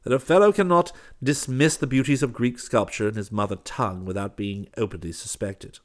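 A man reading the part of a jaunty, excitable young joker in a story, emphatic and mock-indignant. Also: medium pitch, a little fast, pitched above the performer's own voice, natural voice. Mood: teasing, mock-indignant. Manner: emphatic, exclaiming.

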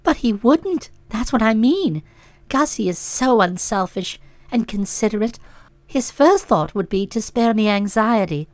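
A woman's voice: fairly high pitch, lively intonation, unhurried, no echo, pitched below the performer's own voice. A woman voicing a gushing, sentimental young woman with a soupy, trickly, tremulous voice. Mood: worried.

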